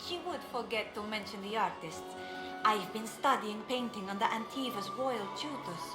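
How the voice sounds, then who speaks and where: A woman playing an excitable, gossipy young noblewoman, a younger sister, in a video game. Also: medium pitch, normal speed, natural voice. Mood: breezy, bragging. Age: young adult. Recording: roomy echo under music.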